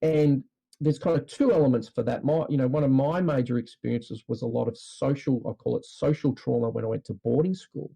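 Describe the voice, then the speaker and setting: A man's voice: medium pitch, fairly quick, gravelly, mellow, husky, poor audio. A man with an Australian accent, a podcast guest explaining earnestly and thoughtfully, with frequent "you know" fillers. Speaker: podcast guest, trauma release practitioner. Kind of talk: reflective podcast conversation.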